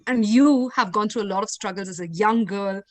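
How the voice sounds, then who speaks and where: A woman's voice: mid-range voice, a little fast, a little mellow. A woman moderating a panel discussion, speaking with polished, emphatic phrasing.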